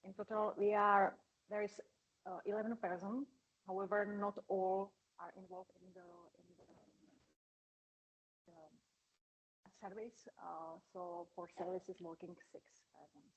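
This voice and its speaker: A woman's voice: medium pitch, level tone, unhurried, breathy edge, lo-fi sound. A woman in her forties who heads a microscopy facility, introducing it on a panel in a hesitant way with many fillers. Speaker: electron microscopy facility head. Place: conference hall at a forum.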